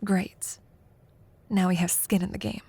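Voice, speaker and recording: A young woman playing a competitive college student in an intimate audio roleplay, a teasing, prickly but secretly fond rival who talks haltingly. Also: fairly low pitch, flat delivery, dark tone, slightly rough. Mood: grudging, prickly. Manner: teasing, halting, flustered deflection.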